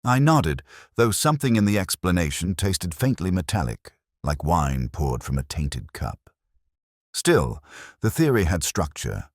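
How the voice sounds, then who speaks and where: A man narrating a scripted first-person detective story in a measured, brooding, literary manner. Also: low voice, very animated, dry recording, clean studio sound.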